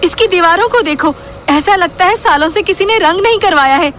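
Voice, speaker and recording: A woman's voice: very high-pitched, a little fast, fairly bright tone, slight background noise. A woman voicing a sardonic, complaining witch-ghost in an audio horror comedy.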